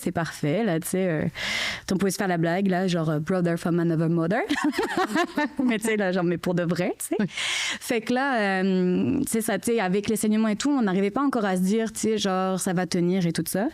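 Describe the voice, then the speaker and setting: A woman's voice: mid-range voice, darkish tone. A woman with a French accent, a podcast guest telling her story in a chatty way, full of fillers. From France. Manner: chatty, filler-heavy, often says "tu sais". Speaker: podcast guest, mother of triplets. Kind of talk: casual interview storytelling.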